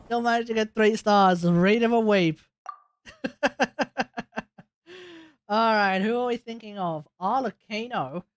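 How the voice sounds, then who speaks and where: A woman's voice: mid-range voice, takes it slowly, long pauses. A woman giving casual live reactions as she streams a video game, with noise and clicking behind her. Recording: clear background noise, clicks.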